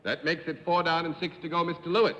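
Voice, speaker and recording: A man's voice: a little high, flat delivery, quick pace, fairly bright tone. A man hosting a live television game show, genial and joking, laughing often. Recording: dull 1950s broadcast audio in a reverberant studio.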